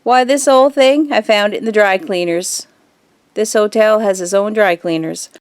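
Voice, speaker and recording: Smooth, gentle middle-aged mom, woman acting. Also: mid-range voice, normal speed, no echo, studio quality, lightly acted. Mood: affectionate. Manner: tender, reassuring. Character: gentle, reassuring mother of a teenage son. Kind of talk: audio drama dialogue.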